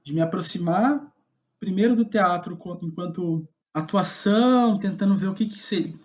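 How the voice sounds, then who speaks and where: A man's voice: high-pitched, normal speed. A man with a Brazilian accent speaking casually as an interview guest, recounting his own career in a self-deprecating, chuckling way. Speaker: guest playwright.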